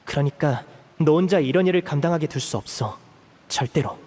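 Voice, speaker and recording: An actor playing a protective, earnest young man in a video game, speaking in a measured, resolute way. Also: fairly high pitch, a little mellow, long reverb tail, lightly acted. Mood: earnest, determined. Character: protective, earnest young elder brother figure, raised an orphan.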